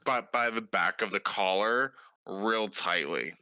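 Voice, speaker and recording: A man's voice: lowish voice, unhurried, bright, forceful tone, clean tone, no echo, studio quality. A man talking casually as a player in a tabletop roleplaying game.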